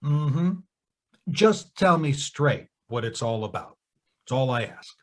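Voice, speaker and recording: An actor playing a laconic, shrewd police lieutenant in an audio drama, speaking in a terse, dry, husky way. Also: male voice, medium pitch, lively intonation, slow. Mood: knowing, firm.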